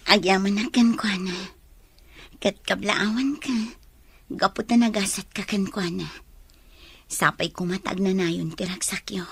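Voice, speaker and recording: A woman's voice: mid-range voice, steady tone, measured pace, vocal fry, long pauses, husky, no echo, exaggerated voice. A woman acting a humbled, remorseful young woman with an Ilocano Filipino accent in a radio drama. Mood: ashamed, grateful.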